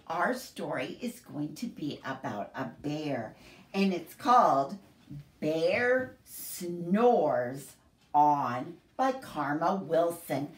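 A middle-aged woman hosts a children's story time with a very animated, sing-song delivery. Her voice sits a little low and moves at an unhurried pace. It is recorded in an echoey room, some distance from the microphone. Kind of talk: expressive picture-book read-aloud for young children. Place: small home room, indoors. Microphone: camera a few feet away. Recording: roomy echo, distant camera microphone.

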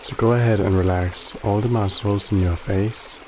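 A man guiding a body scan meditation in a calm, gently instructive way with long pauses. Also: on the low side, a little hiss or hum, rough recording. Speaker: meditation guide. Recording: loud running water behind the voice. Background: trickling stream water ambience.

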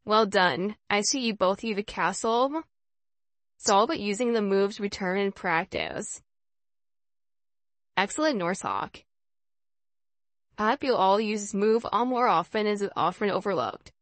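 A woman's voice: medium pitch, animated, a little slow, long pauses, no room sound, lightly acted. A woman voicing a friendly, encouraging schoolgirl who leads a chess class in a visual novel, in an instructive manner. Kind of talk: reading visual novel dialogue aloud.

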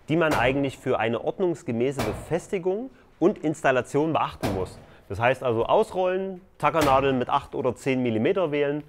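A man's voice: mid-range voice, a little hiss or hum. A man on a tiny house building site, explaining construction steps in a loose, conversational way. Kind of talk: casual explanatory vlog.

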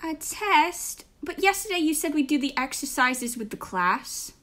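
A woman's voice: high-pitched, lively intonation, measured pace, fairly bright tone. A woman voicing a brash, dramatic, bickering schoolgirl in an amateur comic fandub. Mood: defensive.

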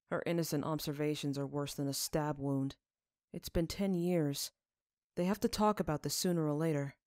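A woman's voice: deep voice, darkish tone, no echo, studio quality. A woman reading fiction aloud as a narrator, in audiobook style.

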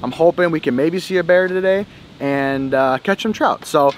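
A man's voice: a little high, normal speed, slight background noise. A man in his thirties hosting a fishing vlog outdoors, talking to a handheld camera at arm's length in an upbeat, casual way. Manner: upbeat, addresses viewers as guys. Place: outdoor parking lot by a river trail.

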